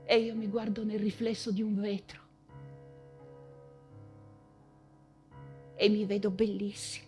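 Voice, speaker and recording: An actress playing an anguished, proud woman writer in wartime Paris, in a theatrical monologue with halting, insistent repetitions. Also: medium pitch, little pitch movement, a little mellow, soft background music, close to an everyday voice. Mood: wistful.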